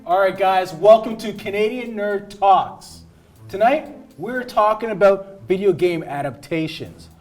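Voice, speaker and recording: A man hosting a casual round-table discussion, animated as he introduces topics. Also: sits high, measured pace, soft background music. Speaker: host.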